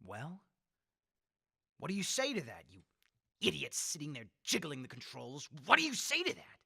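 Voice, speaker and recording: A man playing a megalomaniac leader bent on mass death in a radio horror drama, theatrical and gloating, moving between hushed menace and shrieks. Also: fairly high pitch, huge pitch swings, normal speed, creaky, husky, no room sound, studio quality, full character voice. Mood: smug, taunting. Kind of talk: old-time radio horror drama acting.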